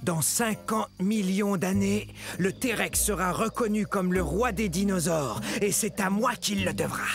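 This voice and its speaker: A man's voice: high-pitched, measured pace, vocal fry, music in the background. A man voicing a proud, secretive little meat-eating dinosaur in a children's cartoon, confiding in a dramatic, self-important way. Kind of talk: animated children's educational cartoon monologue.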